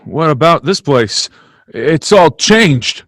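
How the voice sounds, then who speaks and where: Agitated husband at the end of his rope, male actor, emotional radio-play delivery, slightly high pitch. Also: animated, slow, fairly bright tone, no room sound, heavily acted. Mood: dejected, bewildered. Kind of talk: old-time radio play acting.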